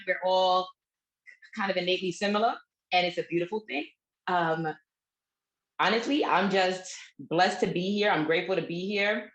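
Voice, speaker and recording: A woman introducing herself as a real estate broker on a panel, warm and grateful in tone. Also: lowish voice. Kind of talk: self-introduction on an online panel. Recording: echoey room.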